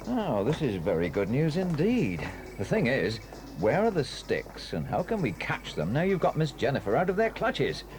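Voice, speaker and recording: An actor with a British accent playing a hearty, fussy police inspector in an audio drama. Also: male voice, mid-range voice, wildly swooping pitch, moderate pace, music in the background. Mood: pleased. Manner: genial, fussy, with ums. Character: hearty, kindly police inspector, middle-aged man. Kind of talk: 1980s audio drama acting.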